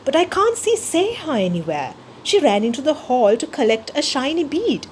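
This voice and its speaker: A small, frightened young mouse talks quickly and pleadingly, its voice pitched a little higher and bouncing up and down, as a woman puts it on while reading a storybook. The speech is slow-to-quick and anxious, with faint room ambience behind it. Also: big pitch swings, a little hiss or hum. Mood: worried. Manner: urgent, pleading. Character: young anxious mouse child, first-person hero.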